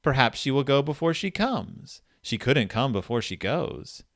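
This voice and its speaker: A man with a very animated, middle-pitched voice hosts a casual story discussion. He retells and reads aloud with enthusiasm. The recording is dry and close. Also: unhurried, no echo. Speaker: podcast host.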